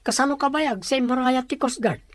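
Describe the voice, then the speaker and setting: A woman's voice: high voice, a little fast, no room sound, lightly acted. A woman with an Ilocano accent playing a persistent questioner in a radio drama. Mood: probing. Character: probing, persistent questioner digging into a suspicious death.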